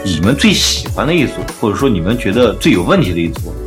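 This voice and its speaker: A man's voice: fairly low pitch, very animated, fast talker. A man hosting a casual podcast chat. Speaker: podcast host. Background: background music.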